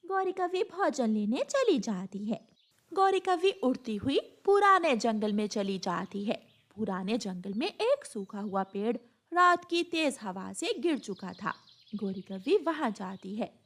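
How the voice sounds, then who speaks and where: A woman's voice: fairly high pitch, big pitch swings, unhurried, fairly bright tone. A woman narrating a scripted cartoon story as a storyteller.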